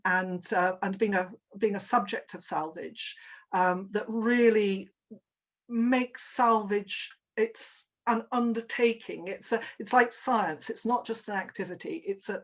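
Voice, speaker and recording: A woman's voice: mid-range voice, steady tone. Middle-aged lady presenting on a video call, stops and restarts a lot. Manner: halting, many fillers and restarts. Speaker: webinar presenter. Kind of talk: online presentation.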